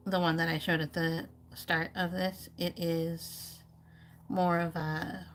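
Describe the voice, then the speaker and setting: A woman with a low voice and plenty of vocal fry chats casually through a painting session. She thinks aloud in a loose, rambling way, explaining her choices as an art streamer would. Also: level tone, slow, slight background noise. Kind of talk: casual live painting commentary.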